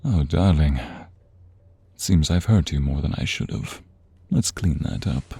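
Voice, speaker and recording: Man playing a very deep, husky vampire seducer. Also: expressive, dark tone, no echo, full character voice. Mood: teasing, domineering. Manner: slow teasing murmur, often breathy whispers, sighs. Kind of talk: intimate ASMR romance roleplay.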